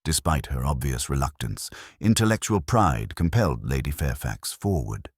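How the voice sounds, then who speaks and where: Deep-voiced male narrator for a mystery audiobook, expressive delivery, dry close sound. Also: husky, no room sound. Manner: measured, dramatic.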